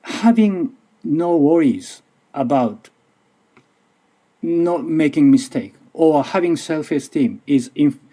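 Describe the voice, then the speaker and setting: A man's voice: a little high, lively intonation, takes it slowly, warm tone. A middle-aged man from Japan with a Japanese accent, speaking English as a second language and giving a careful, slightly nervous conference talk with frequent restarts. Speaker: university lecturer. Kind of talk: conference presentation.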